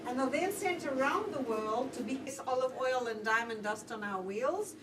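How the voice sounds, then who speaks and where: A woman's voice: high voice, animated, normal speed. A woman from Zimbabwe with a Zimbabwean accent, giving a chatty guided tour of a diamond factory and talking straight to her group. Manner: chatty, addresses the group directly. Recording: roomy, distant, noisy.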